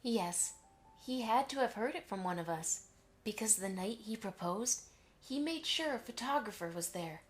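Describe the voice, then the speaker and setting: A woman voicing a gentle, affectionate mother pony who reminisces fondly in an amateur audio drama. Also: a little high, expressive, moderate pace, lightly acted. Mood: fond, nostalgic. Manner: affectionate, storytelling to a child.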